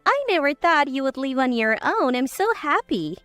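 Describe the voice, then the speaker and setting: Woman acting as gloating older mother-in-law, haughty, commanding, very lively, high pitch, story drama. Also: normal speed, dry recording, soft background music.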